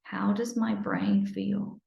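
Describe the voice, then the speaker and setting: A woman leads a guided meditation in a soothing, unhurried manner, slipping at times into near-whispers. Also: mid-range voice, sing-song, creaky, lo-fi sound. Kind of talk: guided meditation, improvised live. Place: indoor room, live online video session. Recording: roomy, echoey sound.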